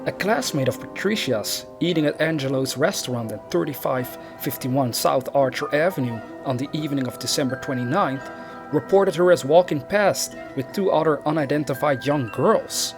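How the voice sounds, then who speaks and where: A man's voice: a little high, moderate pace. A man reading a scripted true crime narration as the narrator of a video. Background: background music.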